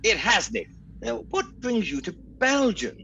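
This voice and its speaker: A man's voice: high voice, big pitch swings, a little slow, vocal fry, pushed and bright, exaggerated voice. A breezy, self-satisfied captain, acted by a man in a comic radio adventure. The delivery is theatrical and swings widely, on a dull recording with slight noise. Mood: jaunty. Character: breezy, pleased-with-himself male captain, royal cousin. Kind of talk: comic radio drama acting.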